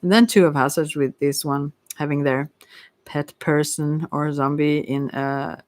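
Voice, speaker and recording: Low-voiced woman giving a soft tarot reading. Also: steady tone, unhurried, no room sound. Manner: soft-spoken, rambling, hesitant, giggly asides. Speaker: tarot reader and ASMR host. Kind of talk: casual ASMR tarot reading.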